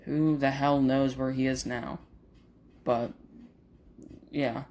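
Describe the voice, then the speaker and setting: A man vlogging while grieving, his voice flat and monotone, barely moving up or down. He talks slowly and haltingly, with lots of fillers and restarts. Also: medium pitch. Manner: monotone, uninteresting voice. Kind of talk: casual talk to camera.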